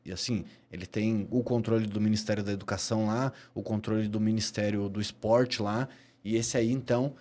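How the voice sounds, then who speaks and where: A man's voice: fairly low pitch, fairly even intonation, a little fast, darkish tone. A middle-aged Brazilian man telling stories as a podcast guest, emphatic and given to repeating key phrases. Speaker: podcast guest, personal trainer. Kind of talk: animated storytelling in a podcast interview.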